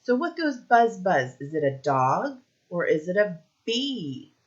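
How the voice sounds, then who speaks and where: Slow, low-voiced woman teaching young children. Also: big pitch swings, muffled recording. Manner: simple questioning, pausing for answers, encouraging. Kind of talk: read-aloud lesson with comprehension questions for young children.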